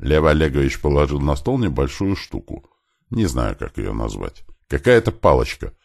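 A man narrates an audiobook story in an extremely deep voice with a gravelly creak. His intonation moves widely as he reads first-person fiction, lightly voicing bits of dialogue along the way. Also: big pitch swings, moderate pace.

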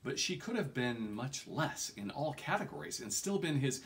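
Animated man reading a story aloud. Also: medium pitch, moderate pace. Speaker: reader. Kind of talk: expressive audiobook-style reading of a fantasy novel. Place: small home room, indoors.

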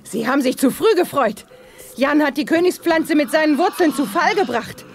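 An actress playing an earnest, brave but anxious young heroine in a science-fiction radio play. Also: female voice, high voice, animated, moderate pace, slight background noise. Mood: urgent, determined.

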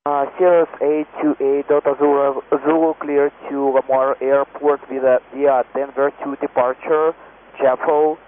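A man, a pilot, giving halting readbacks over aviation radio. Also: mid-range voice, little pitch movement. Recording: narrowband cockpit radio, faint noise.

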